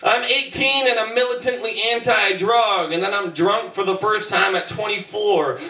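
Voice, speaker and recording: A man's voice: sits high, pushed and bright. A man in his twenties performing spoken word poetry on a small club stage, with a rhythmic, punchy delivery broken by chatty asides. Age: 20s. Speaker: performance poet. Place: small club stage with band gear behind. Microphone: stand mic, filmed from the back of the room. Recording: roomy distant live club recording.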